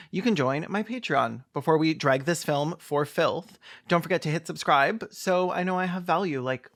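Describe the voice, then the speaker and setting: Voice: medium pitch, fairly bright tone. A host giving comedic reaction commentary on a film in a campy, sarcastic way.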